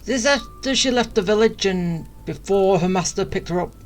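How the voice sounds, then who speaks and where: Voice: mid-range voice, normal speed. A streamer giving casual playthrough commentary in musing, fragmented remarks. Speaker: game streamer reacting to a story. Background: game music.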